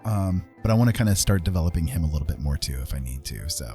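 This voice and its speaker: Low-voiced man chatting on stream, animated pitch. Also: fast. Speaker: livestream host and digital artist. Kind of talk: casual livestream chat. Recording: music playing behind the talk. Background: background music.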